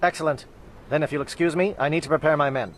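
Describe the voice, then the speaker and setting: A man voicing a solemn alien commando captain in a video game, speaking in a formal, measured, oratorical way. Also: on the high side, a little hiss or hum, natural voice. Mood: formal, assured. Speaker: military captain. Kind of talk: video game cutscene acting.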